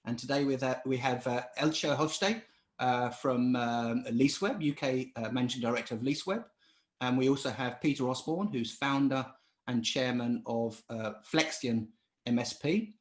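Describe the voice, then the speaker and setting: A man hosting a webinar, introducing the guests with halting speech and many fillers. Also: mid-range voice, reverberant. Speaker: webinar host. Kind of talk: introducing speakers.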